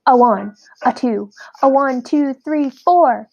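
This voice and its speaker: A woman's voice: sits high, slow, vocal fry, a little mellow, lo-fi sound, voice pushed up, heavily acted. A woman voicing a jovial, hearty male quoll bandleader with a showy manner in a children's storybook. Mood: counting in. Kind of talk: children's storybook read-aloud.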